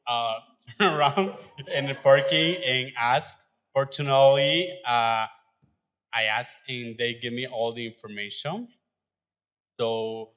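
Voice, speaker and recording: A man speaks slowly in broken, English, stopping often to search for the word. He says "uh" a lot and lets out nervous laughs as he shares his story with a live audience at an event. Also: medium pitch, a bit bright. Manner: halting non-native English with many fillers and restarts, nervous, laughing. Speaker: adult learner sharing his story. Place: event venue.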